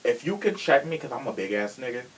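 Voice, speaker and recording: Man, mid-range pitch, podcast host, casual chat, sassy, slangy, opinionated. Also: sing-song, fast talker, a little hiss or hum, poor audio.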